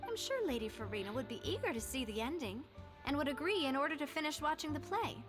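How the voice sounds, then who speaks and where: A confident young lady boss in a video game. The voice is high and very expressive, playful and theatrical yet polite, with music underneath. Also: fast. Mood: playful, mischievous.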